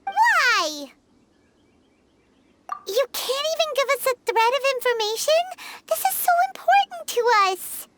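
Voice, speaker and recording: A tiny female fairy companion in a video game, with an extremely high, squeaky voice. She drags out her words slowly while swinging up and down in pitch. She sounds excitable and inquisitive. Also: heavy background noise, heavily acted. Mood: pleading.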